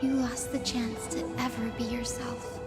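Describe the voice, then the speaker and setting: A woman voicing a solemn, sorrowful voice from memory in a video game, soft and grave. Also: on the high side, flat delivery, a little slow. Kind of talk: dramatic video game voice acting. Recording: echoing hall reverb with music.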